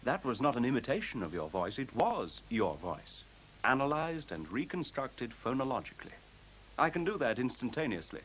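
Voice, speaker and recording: An actor playing a self-assured, courteous computer in a radio play, speaking in articulate, formal, precisely lecturing phrases. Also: male voice, medium pitch, big pitch swings, moderate pace, a bit bright, rough recording. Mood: coolly confident. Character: self-assured, courteous sentient computer, male-voiced, coolly superior. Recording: faint tape hiss.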